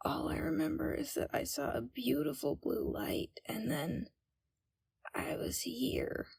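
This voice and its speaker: A woman playing a timid, frightened young captive in an audio roleplay, speaking in a hesitant, hushed and halting way. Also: sits high, expressive, vocal fry, dry recording, whispered, full character voice. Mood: pleading, tearful. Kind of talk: scripted audio roleplay, ASMR.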